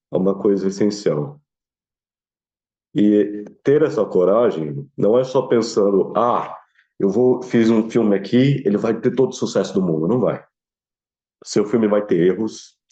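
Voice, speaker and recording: A man's voice: lowish voice, warm tone. A young man with a Brazilian accent giving earnest, informal advice in an interview, repeating phrases for emphasis. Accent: Brazilian Portuguese. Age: young adult. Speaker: student filmmaker interviewee. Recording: slightly dull, lo-fi sound.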